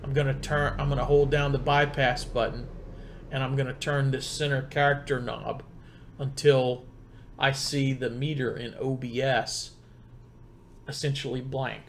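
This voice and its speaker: Middle-aged man, halting tutorial delivery. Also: medium pitch, little pitch movement, slight background noise. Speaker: tech tutorial presenter. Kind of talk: casual step-by-step gear tutorial. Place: home computer desk in a wood-panelled room. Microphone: desktop condenser mic about two feet away.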